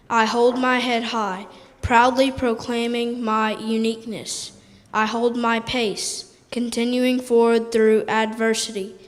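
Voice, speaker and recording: A woman reciting a poem in a measured, declarative way at a live program. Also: a little high. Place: large church hall. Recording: echoey hall.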